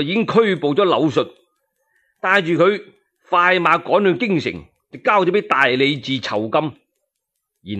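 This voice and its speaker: A male storyteller with a Cantonese accent tells a historical tale. His delivery is dramatic, with pitch that swings widely. It is solo radio narration, full of expression. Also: fairly bright tone.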